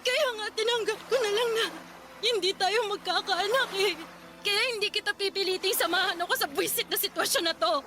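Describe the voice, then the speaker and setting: An actress playing a grieving, stubborn wife in a Filipino radio drama, emotional and pleading. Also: female voice, very high voice, brisk, over music. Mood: tearful, hurt. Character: grieving wife who cannot bear children, stubborn, self-sacrificing.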